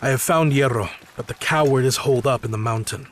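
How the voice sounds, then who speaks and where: An actor playing a dry, weary military captain in a video game, speaking tersely with a sardonic edge. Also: male voice, mid-range voice, quick pace, a little hiss or hum, close to an everyday voice. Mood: grim. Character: weary, dutiful soldier captain, grudging and dry.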